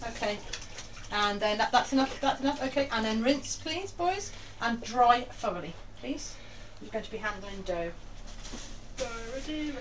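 Older British woman, slightly high voice, directive kitchen instructor to kids; distant, faintly noisy camera sound. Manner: directive, checking the children follow. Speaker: grandmother teaching children to bake. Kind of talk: casual step-by-step instruction to children. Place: small domestic kitchen. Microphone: handheld camera a few feet away. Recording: distant camera mic, some background noise.

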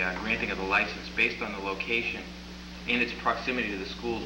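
Councilor, thirties, lowish voice, deliberate; echoey council room with clear hum. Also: male voice. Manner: deliberate, repeats words while reasoning. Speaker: town councilor. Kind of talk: council debate remarks. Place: town council chamber. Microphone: table mic. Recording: echoey chamber with loud hum.

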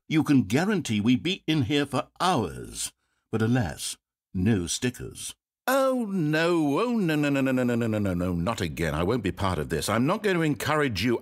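A man's voice: medium pitch, husky, no echo, studio quality. An actor playing a smug, mock-polite male narrator of a video game, speaking with sardonic theatrical wit.

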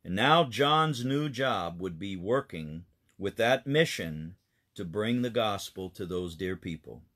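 A man's voice: on the low side, animated, takes it slowly, fairly bright tone. A man, a pastor, telling a children's missionary story in an earnest, explanatory way.

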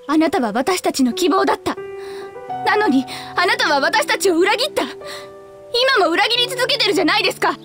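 A woman's voice: extremely high pitch, animated, a little fast, over music. An actress playing a bitter, grieving young girl in a Japanese video game, speaking in cold, accusing, formally polite sentences. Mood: accusing, angry.

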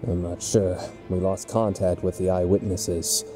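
A man's voice: low voice, little pitch movement, unhurried, warm tone, soft background music. A man voicing a grim, dutiful rebel soldier in a video game fan dub, giving terse military reports. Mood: grave report.

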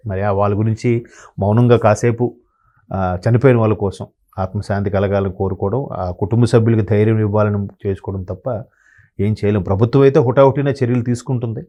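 A man's voice: lowish voice, fairly even intonation, fairly quick, muffled recording. A man speaking as an analyst guest, talking in a rambling, sombre way with many restarts. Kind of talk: conversational commentary.

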